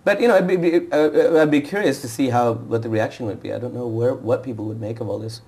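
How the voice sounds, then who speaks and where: Quick-talking man, halting and self-correcting. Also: medium pitch, darkish tone. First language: Urdu and Punjabi speaker. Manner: fast, hesitant, with many restarts. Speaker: author guest. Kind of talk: TV interview conversation.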